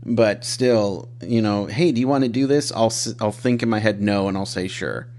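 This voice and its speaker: A man's voice: on the low side, normal speed, a little mellow, slight background noise. Male podcast host, 30s, laid-back and self-mocking, loose conversational delivery. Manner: self-deprecating, rambling with restarts and laughs. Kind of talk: casual interview chat.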